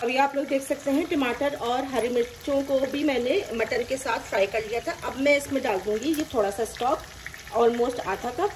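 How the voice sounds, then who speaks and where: A woman's voice: high-pitched, normal speed, a little hiss or hum, low-quality recording. A woman hosting a cooking channel, explaining each recipe step plainly in a friendly voice-over. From Pakistan. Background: frying and sizzling.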